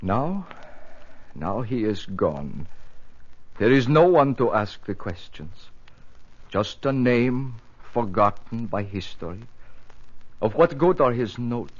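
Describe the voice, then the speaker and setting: A devoted, fervent male helper, with a husky voice that moves widely in pitch. He speaks slowly and dramatically in 1950s radio style. The sound is dull and dated. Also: big pitch swings, exaggerated voice. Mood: grieving. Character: devoted, emotional brother and helper of inventor. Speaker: radio actor. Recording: muffled old radio transcription.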